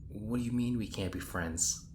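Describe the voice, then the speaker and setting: In a roomy space over noisy ambience, a man acts out a sarcastic, exasperated hero in a roleplay drama. His low voice rambles in casual, modern phrasing, like someone arguing with an enemy he knows well. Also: normal speed, close to an everyday voice. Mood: questioning, exasperated. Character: exasperated, sarcastic young hero stuck in time loops. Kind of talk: audio roleplay acting, conversational monologue. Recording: roomy echo, clear background noise. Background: designed ambience.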